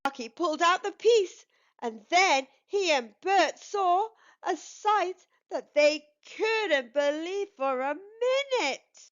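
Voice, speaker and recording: High, animated woman reading a storybook. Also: highs cut off. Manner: measured, animated storytelling delivery. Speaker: children's storytime channel host and narrator. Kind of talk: expressive read-aloud storybook narration for young children.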